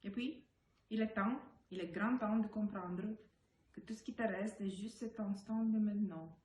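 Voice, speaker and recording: A woman reading poetry aloud, delivering it phrase by phrase in a measured recitation. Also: mid-range voice, darkish tone. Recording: roomy echo, faint background noise.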